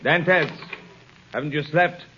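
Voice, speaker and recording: A jailer questioning his prisoner in a raised, forceful voice. The old radio recording is muffled. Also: fairly high pitch, slow pace. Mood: brisk, questioning. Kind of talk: 1930s radio drama acting. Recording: dull, faintly noisy 1938 radio broadcast.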